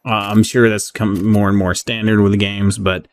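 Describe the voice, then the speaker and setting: A man giving casual, unscripted game review commentary in a halting, conversational way. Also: fairly low pitch, flat delivery. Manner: halting, conversational, often checks in with "right?". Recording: clearly audible background noise.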